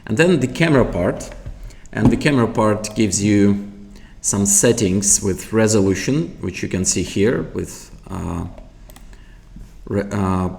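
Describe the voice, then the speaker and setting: A man giving an unscripted product tutorial in a halting, explanatory way. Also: on the low side, vocal fry, darkish tone. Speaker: product demonstrator.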